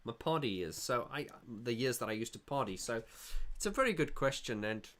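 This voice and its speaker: Rambling middle-aged livestream host. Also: male voice, mid-range voice, lively intonation, a little fast, fairly bright tone. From South Africa. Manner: rambling, thinks aloud with many restarts. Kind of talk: casual chat, reading viewer comments.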